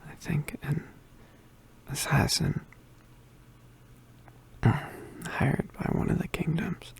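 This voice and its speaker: Someone acting a weak, breathy injured witch boy. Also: medium pitch, steady tone, full character voice, rough recording. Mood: pained, weary. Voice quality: breathy, mostly whispered. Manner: halting, pained, with sighs and throat clearing. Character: injured, poisoned young male witch, weak and apologetic. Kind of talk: ASMR roleplay acting.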